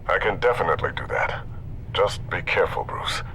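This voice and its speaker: A supportive tech expert in a video game. His voice is low but bright, moving around a lot in pitch, with an earnest, concerned manner. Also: animated, brisk, a little hiss or hum, exaggerated voice. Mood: supportive, cautious.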